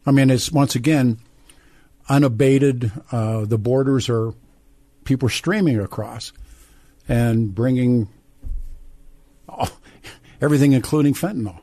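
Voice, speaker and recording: A man's voice: mid-range voice, measured pace, pauses often, mellow, no room sound. An older man hosting live call-in talk radio, speaking in a blunt, opinionated way. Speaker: radio talk show host. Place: radio studio, dry close mic.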